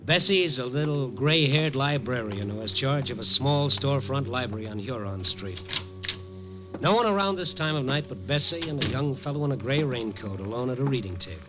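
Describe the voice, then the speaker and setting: A man's voice: medium pitch, lively intonation, brisk, lightly acted. A man playing a hard-boiled newspaper reporter who narrates in a wry, streetwise 1950s radio drama style. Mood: world-weary. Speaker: narrator and lead. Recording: old radio broadcast with music.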